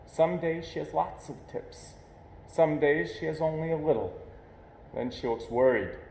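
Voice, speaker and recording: Male storybook reader, fairly high voice, expressive read-aloud with deliberate pauses, echoey room. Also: vocal fry, a little hiss or hum. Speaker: reader of a children's picture book. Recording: echoey, roomy sound.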